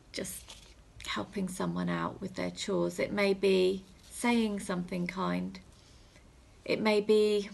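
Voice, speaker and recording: A woman in her thirties with a husky, breathy voice, giving a gentle, reflective assembly talk as a reverend, measured and halting with pauses. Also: mid-range voice. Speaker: reverend leading a school assembly. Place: small room at home. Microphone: phone or webcam at arm's length.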